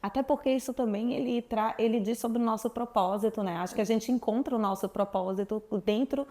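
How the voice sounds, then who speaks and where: Chatty woman, fairly high voice. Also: a little fast. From Salvador, Brazil. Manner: very talkative, expressive storyteller. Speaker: guest, sexuality professional. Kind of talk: casual interview chat.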